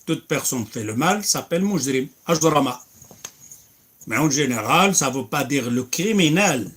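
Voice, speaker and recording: A man's voice: fairly high pitch, expressive, unhurried, fairly bright tone, slightly rough. A man preaching and answering questions, emphatic, breaking into recited Arabic verses. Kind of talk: religious Q&A.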